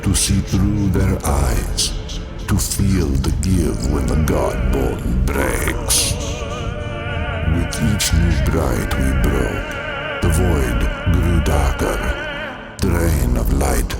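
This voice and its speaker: A man voicing an ancient, cold, menacing dark god in a fan motion comic, speaking slowly and grandly with a deep gravelly rasp. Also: over loud music, heavily acted. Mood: brooding. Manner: slow, brooding, grandiose. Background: loud dramatic score.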